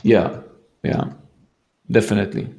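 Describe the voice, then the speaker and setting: A man with an Israeli accent, a guest in a casual chat, who speaks haltingly with restarts and fillers. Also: dark tone. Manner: halting, many restarts and fillers, laughs between points.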